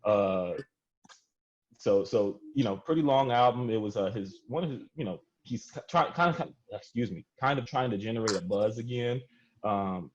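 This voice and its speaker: A man's voice: lowish voice, darkish tone, lo-fi sound. A man hosting a music podcast round table, speaking in a slangy, conversational way with casual swearing. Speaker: podcast host.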